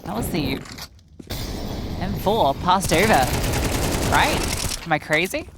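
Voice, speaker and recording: A woman's voice: fairly low pitch, big pitch swings, slow, background noise. A woman giving chatty, analytical color commentary on a live esports match. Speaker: esports caster.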